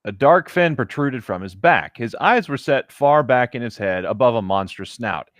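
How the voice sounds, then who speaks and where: Hesitant, amused man with lively intonation. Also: mid-range voice, moderate pace, no room sound, studio quality. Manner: hesitant, amused, prone to breaking into laughter. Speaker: co-host reading fan fiction aloud with commentary. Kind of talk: casual comedic banter and mock dramatic reading.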